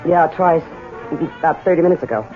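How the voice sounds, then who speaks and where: An actress playing a patient, caring working-class housewife with a homely, soothing manner in a 1970s radio drama. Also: female voice, low voice, expressive, a little fast, faint music behind. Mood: homely, welcoming. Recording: dull, lo-fi old radio broadcast recording.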